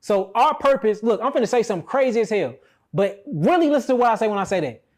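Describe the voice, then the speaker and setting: High-pitched American man explaining spiritual ideas. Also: lively intonation, fast, darkish tone. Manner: emphatic, explanatory, checks listener with tag questions. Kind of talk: impassioned spiritual talk, conversational.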